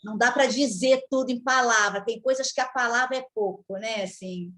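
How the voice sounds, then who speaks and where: Middle-aged woman, fairly high voice, gushing and heartfelt lecture opener. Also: moderate pace, noisy background. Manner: effusive, emotional, repetitive. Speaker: guest speaker and trainer. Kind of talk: lecture opening.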